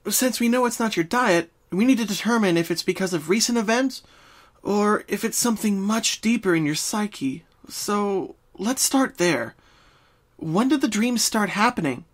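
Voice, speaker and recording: Caring, bookish young female cartoon unicorn acted by a storyteller, higher register, earnest and reassuring. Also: animated, moderate pace, lightly acted. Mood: analytical.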